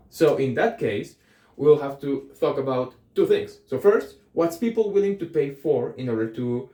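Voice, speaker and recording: A man's voice: a little high, warm tone. A young man with a Colombian accent talking casually to camera in a reflective vlog, thinking aloud with frequent ums and self-corrections. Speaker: vlogger. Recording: echoey room.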